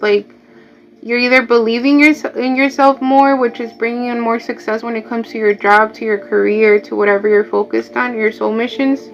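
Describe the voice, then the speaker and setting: A woman's voice: a little high, steady tone, moderate pace. Mexican-accented woman giving a tarot reading. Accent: Mexican Spanish. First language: Spanish. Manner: chatty, repeats words, drifts into asides. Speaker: tarot reader. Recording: dull lo-fi audio, faint music.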